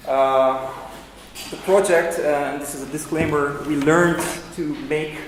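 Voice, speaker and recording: A man's voice: fairly high pitch, fairly even intonation, unhurried. A man, a think-tank director moderating a panel, gives formal opening remarks with frequent filler sounds. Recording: echoey hall, faint noise.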